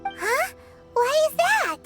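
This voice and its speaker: A woman voicing a tiny floating childlike companion in a video game, perky, eager and excitable. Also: very high-pitched, takes it slowly, over loud music, heavily acted. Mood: curious. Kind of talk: cartoonish video game voice acting.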